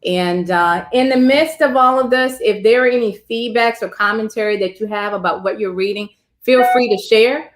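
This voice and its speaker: A woman's voice: mid-range voice, moderate pace. A woman speaking casually as the host of a book reading. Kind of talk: casual event hosting. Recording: roomy echo.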